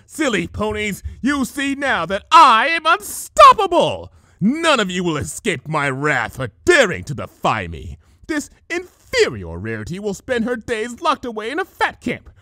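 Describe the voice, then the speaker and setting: Man doing a gloating changeling villainess, high and theatrical. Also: huge pitch swings, measured pace, hardly any pauses, pushed and bright, no room sound, exaggerated voice. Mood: gloating, menacing. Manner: grandiose, taunting.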